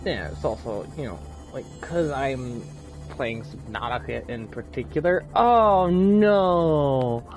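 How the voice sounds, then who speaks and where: A man's voice: mid-range voice, lively intonation, slow. Male game streamer, loose chatty delivery, trips over words, faint music under him. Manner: stammers and repeats words, lots of fillers. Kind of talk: casual live-stream chat with party friends.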